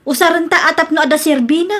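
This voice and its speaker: Woman acting a high-voiced, boastful Ilocano wife. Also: fast talker, fairly bright tone. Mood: stubborn, impatient. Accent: Ilocano Filipino. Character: boastful, stubborn, scheming wife, cheerful and bossy. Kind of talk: Ilocano comedy radio drama acting.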